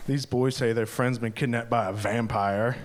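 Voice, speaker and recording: A man's voice: mid-range voice, steady tone, normal speed, very noisy, close to an everyday voice. A man reading the part of a bored police officer in his forties who laughs and mocks the boys, at a live table read. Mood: mocking. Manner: sarcastic, laughing and teasing. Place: live venue.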